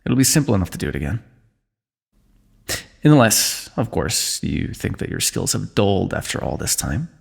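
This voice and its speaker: A dry, teasing fantasy king, played by a man in an ASMR roleplay, wounded but unbothered. His voice sits low, with a gravelly, husky grain. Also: normal speed, full character voice. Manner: dry, teasing, calm understatement. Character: wry wounded male ruler, teasing old comrade.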